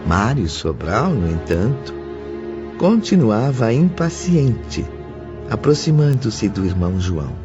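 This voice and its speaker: A man narrating an audio drama in a measured storytelling voice. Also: on the low side, sing-song. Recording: dull audio under music.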